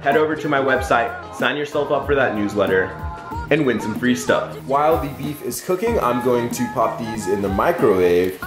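A young man talks straight to his viewers in an upbeat, chatty way while cooking at home. His voice bounces around a roomy kitchen, and clear background music runs beneath it. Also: mid-range voice. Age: young adult. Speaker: fitness vlogger, host. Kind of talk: casual cooking demo to camera.

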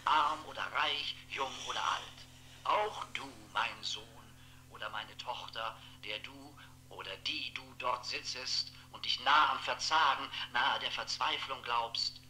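A sharp, fervent telephone priest. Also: male voice, mid-range voice. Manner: solemn, fervent, admonishing. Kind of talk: recorded sermon in 1960s radio play. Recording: humming, noisy telephone line.

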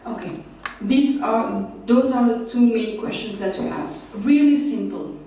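A woman's voice: on the high side, lively intonation, unhurried, dark tone, slight background noise. Young woman, hesitant academic presenter, recorded far off in a very echoey hall. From Belgium. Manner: hesitant, many fillers and restarts. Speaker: researcher presenting at a summer school. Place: large lecture hall, seated at a panel table. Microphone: table mic, recorded by a far camera.

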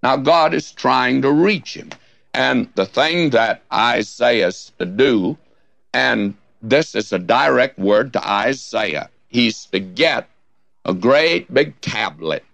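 An older man teaching the Bible on radio, with a scratchy hoarse voice and a slow, folksy, emphatic delivery that lifts on key words. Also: medium pitch, expressive, a bit bright, dry recording.

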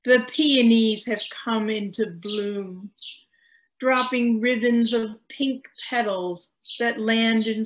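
A woman's voice: a little high, low-quality recording. An older woman reading a poem aloud indoors on a video call. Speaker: poet reading own poem. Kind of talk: poetry recitation. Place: room by a window, indoors.

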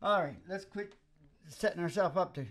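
A man's voice: sits high, normal speed, a bit bright. A man in his sixties, a musician hosting a show, chatting casually and ramblingly into a close stand microphone. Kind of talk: casual rambling stage banter. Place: small wood-panelled indoor room. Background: acoustic guitar.

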